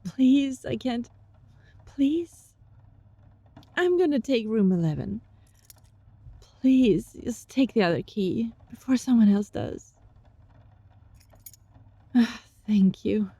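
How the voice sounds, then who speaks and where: A woman playing a gentle, sly young fox-spirit student in an intimate ASMR roleplay, speaking softly and breathily in a coaxing, teasing way. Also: a little high, animated, slow, pauses often, no echo, lo-fi sound, exaggerated voice. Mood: anxious pleading. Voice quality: soft, breathy.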